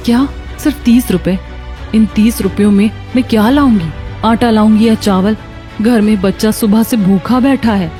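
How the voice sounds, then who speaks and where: A woman playing a poor, hardworking mother in a cartoon story, anxious and gentle. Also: medium pitch, normal speed, soft background music, natural voice. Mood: anxious, upset.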